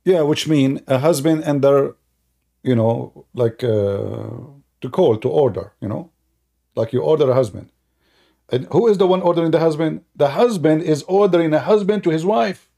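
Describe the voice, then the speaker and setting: Man, mellow voice. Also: on the high side, lively intonation, moderate pace, creaky. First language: Arabic. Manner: loose, talky storytelling with non-native grammar and frequent fillers. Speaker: commentator. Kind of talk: casual commentary while showing a video on screen.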